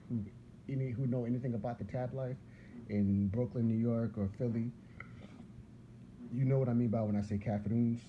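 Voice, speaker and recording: Very deep-voiced man preaching, lo-fi. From Philadelphia. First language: Arabic. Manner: rambling, repeats words, restarts sentences. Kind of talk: casual preaching monologue. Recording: dull lo-fi sound with clear background noise.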